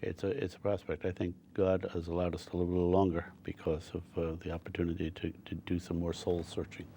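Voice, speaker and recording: An older man talking as a guest in a casual interview, earnest and halting, often repeating his words. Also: low voice, monotone, mellow, a little hiss or hum. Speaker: guest on a talk show.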